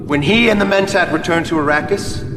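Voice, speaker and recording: An actor playing the shrewd younger son of a tyrant in a video game, formal and slyly measured in his words. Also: sits high, close to an everyday voice. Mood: sly, assured. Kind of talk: theatrical video game cutscene acting. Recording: long reverb, clear background noise.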